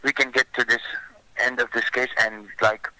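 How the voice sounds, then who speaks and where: Male phone scammer, bright forceful tone, flat intonation, formal and deferential. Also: medium pitch, moderate pace, dry recording, poor audio. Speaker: phone scammer posing as a government officer. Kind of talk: scripted phone pitch with improvised replies.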